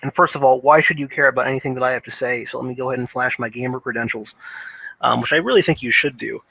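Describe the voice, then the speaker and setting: A man giving unscripted opinion commentary as a video game commentator, often halting and restarting his phrases. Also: medium pitch, creaky, bright, forceful tone, no room sound, dull audio.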